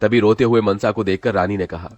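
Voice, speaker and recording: Male narrator, fairly low pitch, scripted dramatic storytelling, dry studio-like sound. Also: fairly even intonation, brisk, darkish tone, no room sound, dull audio, faint music behind.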